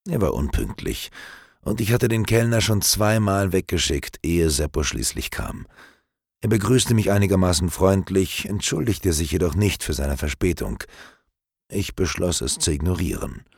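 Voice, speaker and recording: A man narrating an audiobook with a low, gravelly voice and a husky edge. His reading is measured and expressive, and his pitch moves a lot. The recording is dry and close. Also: no echo. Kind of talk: scripted first-person narration.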